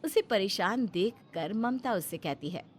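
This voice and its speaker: A woman's voice: mid-range voice, animated, measured pace, slightly rough. A woman giving scripted narration of a family story as its narrator.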